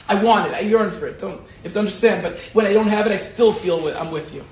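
A man, a rabbi, giving an animated Torah lecture and telling a personal story, repeating key phrases for emphasis. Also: high-pitched, quick pace, roomy sound. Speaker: rabbi teaching a Torah class.

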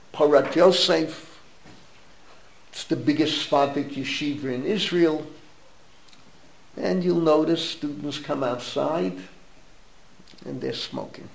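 Older American rabbi with a gravelly, higher-pitched voice. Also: male voice, a little mellow, rough recording. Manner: emphatic, opinionated, repeats phrases for emphasis. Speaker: rabbi giving a Torah class. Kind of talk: informal religious lecture with Hebrew terms.

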